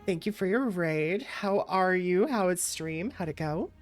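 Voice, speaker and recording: A woman's voice: fairly low pitch, animated, measured pace, soft background music. A woman livestreaming a game playthrough as a VTuber, talking casually in a chatty, affectionate, playful manner. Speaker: VTuber streamer.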